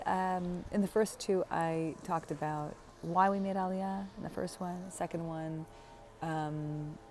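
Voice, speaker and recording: Low, gravelly woman vlogging casually. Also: darkish tone, a little hiss or hum. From United States. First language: English. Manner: chatty and rambling, many fillers and self-corrections, frequent light laughs. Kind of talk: casual personal vlog.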